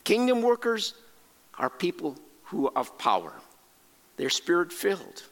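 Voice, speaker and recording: A man preaching a sermon as a missionary, speaking deliberately and emphatically in short phrases with pauses, in a large reverberant space. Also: high-pitched, wildly swooping pitch, a bit bright. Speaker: preacher and cross-cultural missionary. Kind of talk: sermon with scripture quotations and rhetorical questions.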